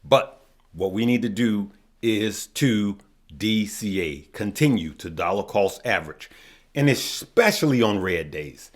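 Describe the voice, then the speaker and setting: Hoarse man quizzing his viewers. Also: fairly low pitch, expressive, measured pace. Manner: asks questions then answers them; addresses viewers as good people. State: losing his voice. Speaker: stock market video host. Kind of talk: casual finance talk to viewers.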